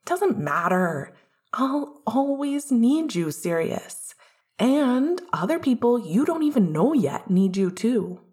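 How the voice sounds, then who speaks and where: A woman's voice: on the high side, sing-song, slow pace, warm tone, pitched above the performer's own voice. A woman reading an upbeat, chatty young man, a caring best friend with an eager, insistent manner.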